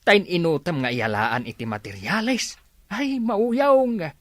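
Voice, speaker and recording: A man's voice: on the high side, big pitch swings, unhurried, no echo. A man playing an exasperated older village father in a comedy radio drama, nagging emphatically. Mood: scolding, skeptical. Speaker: actor.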